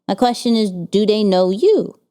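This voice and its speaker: A woman co-hosts a casual business chat, her voice mellow and smooth. She talks with energy, stacks up rhetorical questions and often restarts her sentences. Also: mid-range voice, lively intonation, moderate pace. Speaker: podcast co-host.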